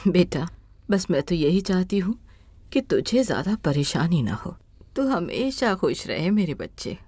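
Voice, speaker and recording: A woman voicing a loving, worried elderly blind mother in an animated moral story. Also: fairly low pitch, unhurried, husky, no room sound, full character voice. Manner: tender, worried.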